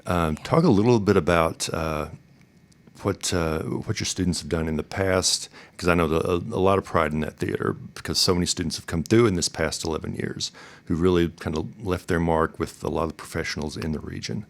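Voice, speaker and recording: Casting: man, low-pitched, conversational interviewer who repeats phrases to agree and emphasise. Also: a little fast. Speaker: podcast host, interviewer.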